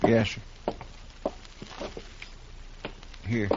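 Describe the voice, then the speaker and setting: A man's voice: fairly quick, noisy background, natural voice. A man playing an earnest, loyal marshal's helper in a 1950s radio western, deferential, with folksy country speech. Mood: curious, deferential. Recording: muffled old 1950s radio recording.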